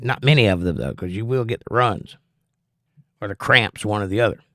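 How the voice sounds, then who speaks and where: A middle-aged man with a softened Georgia accent hosts a casual livestream, reading viewer comments aloud and answering them in a relaxed, conversational way. His voice sounds strained. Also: fairly low pitch, brisk, dry recording, studio quality. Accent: softened Southern US (Georgia). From Georgia, USA. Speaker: livestream host reading chat.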